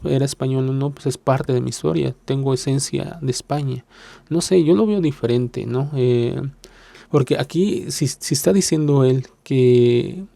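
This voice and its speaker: Mexican guy reacting to a vid, raspy low-key voice, lots of ehs, keeps saying it's just his opinion. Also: mellow, no echo. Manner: hesitant, lots of filler sounds and restarts; self-deprecating, hedges his opinions. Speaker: reaction video host. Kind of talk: casual reaction commentary.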